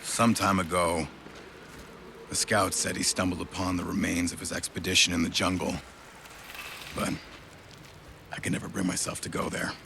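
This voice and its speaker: Low, heavily creaking, world-weary man. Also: level tone. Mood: regretful. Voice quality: very gravelly, fried. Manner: cynical, profane, halting. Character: bitter, world-weary male outrider's son, debt-ridden survivor. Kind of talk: video game cutscene acting. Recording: clear background rumble and ambience.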